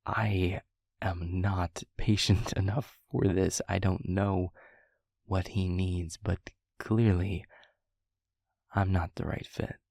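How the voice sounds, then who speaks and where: A man voicing an adult demon mentor in an intimate roleplay audio, confiding gently in the listener in a breathy, gravelly, halting murmur. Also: low-pitched, little pitch movement, no room sound, clean studio sound, heavily acted. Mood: amused, exasperated. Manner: hushed, halting, unhurried, confiding. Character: adult male demon mentor, reflective, gentle, quietly amused. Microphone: close microphone, dry.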